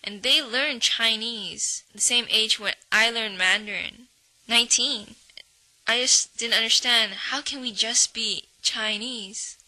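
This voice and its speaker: A woman's voice: on the high side, fairly even intonation, slow, sharp tone, low-quality recording. A young woman narrating a personal documentary in a reflective voice-over.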